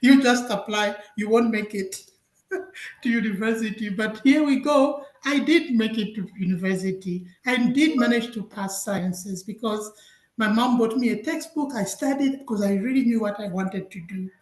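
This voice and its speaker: A woman's voice: on the high side, moderate pace, a little mellow, echoey room, rough recording. A woman from Zambia giving a webinar talk as a guest scientist, telling her own story with frequent laughs over a video call. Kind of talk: webinar presentation, personal story.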